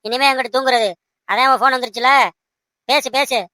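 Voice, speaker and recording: Cartoon fiancé, young man played by a woman: bossy, coaxing, insistent, bright and forward, very dry sound. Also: high voice, steady tone, a little fast, dry recording. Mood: coaxing, curious. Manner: insistent, repeats short commands and questions. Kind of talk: amateur cartoon dubbing.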